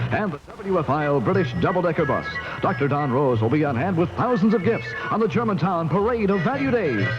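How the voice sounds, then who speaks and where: A man reading a scripted local radio advertisement as an announcer in 1970. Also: a little high. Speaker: commercial announcer. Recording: dull vintage AM radio aircheck. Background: music.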